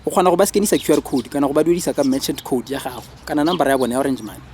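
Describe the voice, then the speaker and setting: A man's voice: mid-range voice, racing, darkish tone, a little hiss or hum, lightly acted. A man with a Botswana accent acting an earnest, sensible young family man in a radio drama, talking to a friend in an insistent, slangy way. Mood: explaining, mildly scolding.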